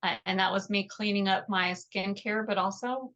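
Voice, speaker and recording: A woman in her mid-forties explaining things as an interview guest, often pausing to find her words. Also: medium pitch, steady tone, a bit bright, lo-fi sound. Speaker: podcast guest, natural skincare product maker. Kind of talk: interview talk explaining products.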